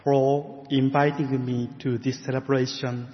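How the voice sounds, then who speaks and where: A middle-aged man from Japan with a Japanese accent, preaching a sermon as a guest in a church, measured and careful, with gentle laughs. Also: medium pitch, flat delivery. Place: church sanctuary. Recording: muffled sound with long church reverb.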